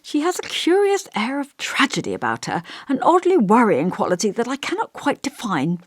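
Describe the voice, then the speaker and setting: An elderly village vicar from an audiobook, acted out by a woman, kindly and long-winded. The speech is genteel and old-fashioned, a little pompous, and musing. The pitch moves around freely, and the sound is dry. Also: wildly swooping pitch, normal speed, dry recording. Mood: musing, gentle. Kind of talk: audiobook character dialogue.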